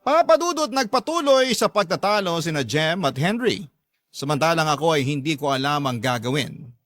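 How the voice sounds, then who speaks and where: Man telling a drama story in first person, earnest and confiding, bit higher voice, no room echo. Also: lively intonation, normal speed, fairly bright tone, clean studio sound. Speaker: storyteller, narrator.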